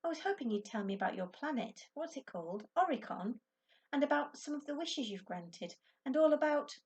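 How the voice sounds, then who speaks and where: A woman voicing an excitable, anxious and curious young boy in a storybook, with eager, urgent delivery. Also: high voice, brisk, recorded from a distance, highs cut off, voice pushed up. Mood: curious, hopeful. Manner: eager, urgent exclamations and questions.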